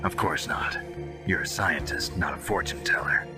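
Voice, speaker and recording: A man plays a legendary soldier in a game cutscene, brooding and blunt. His very deep voice gives terse, weary lines, with music clearly behind. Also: moderate pace, lightly acted. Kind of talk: video game cutscene acting.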